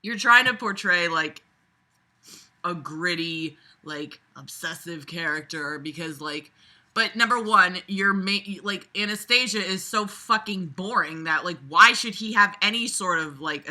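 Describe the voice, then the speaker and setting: A woman's voice: fairly low pitch, lively intonation, normal speed, pushed and bright. A woman reading a novel aloud and breaking off for casual, mocking, exasperated commentary full of swearing. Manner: sarcastic, exasperated, foul-mouthed.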